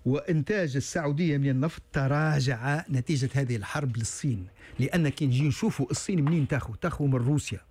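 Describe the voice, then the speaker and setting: A man's voice: mid-range voice, quick pace, a little mellow, husky. A man with a Tunisian Arabic accent, a guest analyst on a talk show, who often stalls and restarts mid-sentence. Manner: hesitant, many stalled restarts and fillers. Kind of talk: studio talk show discussion.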